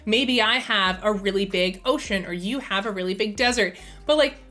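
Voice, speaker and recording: A young woman hosting a relaxed live stream, thinking aloud with lots of fillers and easy enthusiasm. Her voice sounds slightly strained, as if she is losing it. Soft music plays faintly in the background. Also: medium pitch, normal speed. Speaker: streamer and host. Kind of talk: casual live-stream chat.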